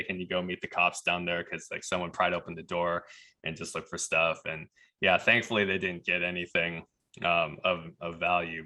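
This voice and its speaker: A man with a very low, clearly bright voice tells stories in a conversational way as a podcast guest. He often slips into quoted dialogue as he recounts what people said. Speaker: pharmacist turned leadership coach. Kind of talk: conversational storytelling.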